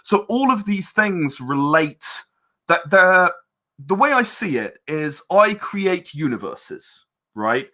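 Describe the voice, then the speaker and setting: A man's voice: high voice, sing-song, takes it slowly, pushed and bright. A man talking casually as an interview guest about his creative work, chatty and rambling as he explains. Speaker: interview guest, game and audio drama creator.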